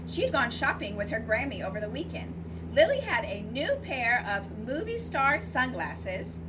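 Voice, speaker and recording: A woman teacher reading a storybook aloud to children, with expressive, deliberate phrasing and little explanatory asides. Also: fairly high pitch. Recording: noisy recording, distant from the mic.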